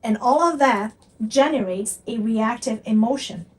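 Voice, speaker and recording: A woman's voice: on the high side, unhurried, darkish tone. Brazilian woman coaching, slightly distant mic. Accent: Brazilian Portuguese. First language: Portuguese. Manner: earnest, repetitive, emphatic. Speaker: manifestation coach. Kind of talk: teaching monologue to camera.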